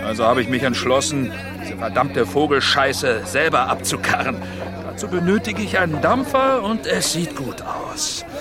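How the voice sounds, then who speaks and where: An actor playing a brash, scheming sea trader in a radio drama, boastful, coaxing and coarse. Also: male voice, a little high, lively intonation, moderate pace, over music, close to an everyday voice. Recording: busy outdoor crowd behind. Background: outdoor crowd bustle.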